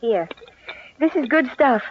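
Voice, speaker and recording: An actress playing a skeptical, teasing middle-aged wife in mid-century radio comedy, with deadpan sarcasm. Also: female voice, high voice, measured pace, a little hiss or hum. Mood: dry, teasing. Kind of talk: 1940s-1950s radio comedy acting. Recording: muffled old radio recording.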